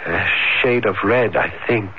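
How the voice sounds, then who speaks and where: An actor in an old radio drama playing a lonely, shy, obsessive romantic who speaks in halting, fervent repetitions. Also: male voice, on the low side. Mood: dreamy, fervent. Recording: dull, noisy vintage radio broadcast.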